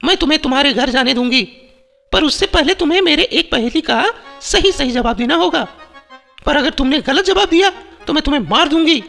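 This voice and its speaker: A performer voicing an imperious magical female tree fairy who sets riddles in an animated moral story. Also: sits high, a little fast, creaky, heavily acted. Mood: stern, challenging. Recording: long reverb, music bed.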